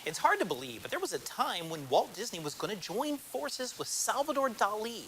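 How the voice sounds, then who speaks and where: A man's voice: sits high, big pitch swings, normal speed, a bit bright, a little hiss or hum. An American man presenting scripted film segments as a TV reporter, with a polished promotional delivery. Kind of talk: scripted broadcast narration. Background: music.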